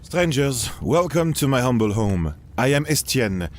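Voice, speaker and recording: A man's voice: mid-range voice, sing-song, a little slow. A man playing a humble, courteous eighteenth-century palace guard in an audio drama, with formal, old-fashioned phrasing. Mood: courteous, curious.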